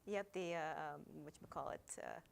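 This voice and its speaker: A woman's voice: on the low side, wildly swooping pitch, fairly quick. Woman guest chatting, kinda hesitant, hunting for words, bit of noise n clicks. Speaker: guest, radio voice actor. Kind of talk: casual interview chat. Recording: clear background noise, clicks.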